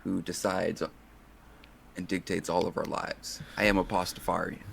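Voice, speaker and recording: A young man chatting as a podcast guest, joking in a deadpan, mock-serious way, with some noise on the recording. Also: low-pitched, moderate pace, gravelly. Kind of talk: casual joking chat.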